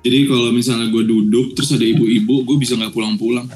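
A man's voice: mid-range voice, flat delivery, fast talker, a little mellow, faint music behind. A young man from Sumatra with a Batak-tinged Indonesian accent, hosting a chatty, joking podcast conversation in slang. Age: young adult. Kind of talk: casual chat.

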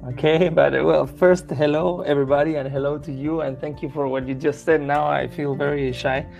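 Chilean guest, young man, halting casual talk over faint music. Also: fairly high pitch, steady tone, gravelly, darkish tone. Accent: Chilean Spanish. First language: Spanish. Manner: hesitant, with many restarts and fillers. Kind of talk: casual interview chat.

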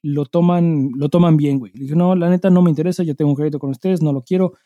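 A man's voice: on the high side, steady tone, fast, dark tone, dry recording, dull audio. A Mexican man co-hosting a podcast, chatting casually in slang with plenty of swearing and acting out dialogue as he tells anecdotes. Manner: informal, profanity-laden, acts out dialogue while telling anecdotes. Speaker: podcast co-host. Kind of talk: casual chat with slangy storytelling.

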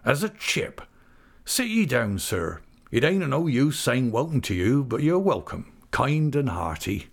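A man's voice: medium pitch, very animated, measured pace, gravelly, voice pushed up, full character voice. A man voicing a hearty, kindly older fisherman in a storybook reading, with rural English dialect and jovial, homely speech. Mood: welcoming.

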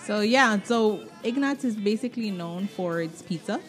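A woman's voice: medium pitch, lively intonation, normal speed, faint music behind. A woman co-hosting a casual podcast from a restaurant, teasing and laughing often. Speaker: podcast co-host. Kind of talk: casual banter.

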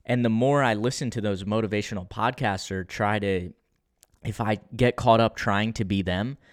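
Low, smooth, monotone male podcaster. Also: moderate pace, no echo, studio quality. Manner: monotone, low-key and unexcited; conversational with frequent restarts. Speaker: podcast host. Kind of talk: solo podcast monologue.

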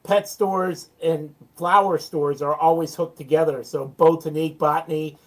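A man's voice: a little high, steady tone, normal speed, rough recording. An American man, a small business owner, talking casually as an interview guest in a chatty, anecdotal, self-deprecating way.

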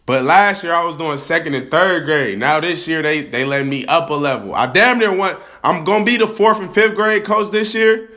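Young male podcast host, swaggering and slangy, voice hoarse from a cold; bright, forceful tone. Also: fairly high pitch, moderate pace, hardly any pauses. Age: young adult. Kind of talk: casual vlog-style podcast talk. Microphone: camera.

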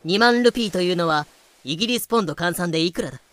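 Man acting a cocky, forceful pirate captain. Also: sits high, lively intonation, rapid-fire, dry recording. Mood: haughty. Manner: haughty, flippant. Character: cocky, greedy, breezily optimistic male pirate captain.

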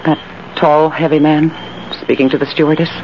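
A woman's voice: deep voice, level tone, moderate pace, natural voice. An actress playing a cold, ruthless, commanding woman schemer in 1940s radio drama style, giving decisive orders. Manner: decisive, domineering, crisp orders. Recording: noisy, muffled old radio recording.

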